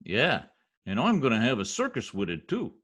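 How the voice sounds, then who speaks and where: A man's voice: mid-range voice, huge pitch swings, moderate pace, a bit bright, breathy edge, full character voice. A man playing a folksy, self-important wisecracking husband in an old-time radio comedy, talking in slangy bluster. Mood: grumbling eagerness. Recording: home video-call recording.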